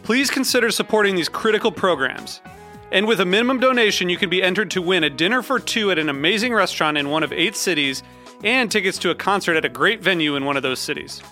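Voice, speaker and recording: A man's voice: high-pitched, expressive, quick pace, bright, forceful tone. A man reading a scripted fundraising promo. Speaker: promo announcer. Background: music.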